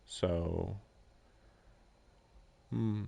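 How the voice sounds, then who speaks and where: A man's voice: deep voice, steady tone, drawn-out words, creaky, mellow, no room sound. A man live-streaming a visual novel, reading the text aloud and adding casual, wry, offhand comments. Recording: faint game music behind the voice. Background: game soundtrack.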